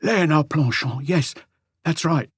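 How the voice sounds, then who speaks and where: A man's voice: fairly high pitch, unhurried, slightly rough, dry recording, clean studio sound, voice pushed up. A man voicing a timid, humble, nervous tradesman in an audiobook, hesitant and apologetic in the way he talks. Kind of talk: audiobook character dialogue.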